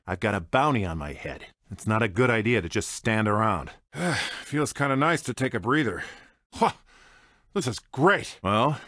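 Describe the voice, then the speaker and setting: A roguish American starship pilot from a video game, a man full of swagger and sardonic wisecracks. His mid-pitched voice moves up and down in lively swings. The sound is close and dry, with no room on it. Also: no room sound. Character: cocky, wisecracking roguish space smuggler and pilot, adult man. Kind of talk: video game character voice clips. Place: dry studio recording.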